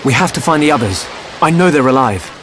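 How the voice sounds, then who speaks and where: An actor playing an earnest, protective young hero in a video game cutscene, speaking with sincere intensity. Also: male voice, a little high, expressive, brisk, fairly bright tone, a little hiss or hum. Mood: reassuring, determined.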